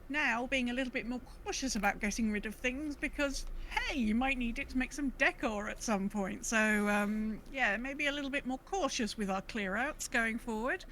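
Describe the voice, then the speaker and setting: A woman's voice: fairly high pitch, normal speed, hardly any pauses, fairly bright tone, a little hiss or hum. A woman hosting a podcast in casual, chatty conversation. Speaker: podcast host.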